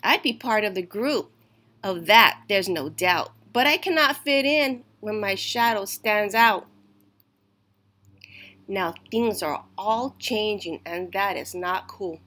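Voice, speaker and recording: A woman reading a rhyming children's picture book aloud in a deliberate, expressive storytelling way. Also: mid-range voice. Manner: slow, deliberate, emphatic storytelling. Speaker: storytime reader.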